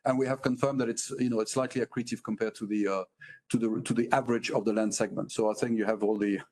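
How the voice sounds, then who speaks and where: A man answering analysts as a company executive on an earnings call, unscripted, with halting and repetitive phrasing. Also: fairly low pitch, steady tone, darkish tone.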